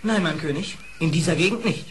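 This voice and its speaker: A man's voice: fairly high pitch, fairly quick, natural voice. An actor playing a formal, deferential court marshal to an animal king in a storybook radio play. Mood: cautious. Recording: faintly noisy old record.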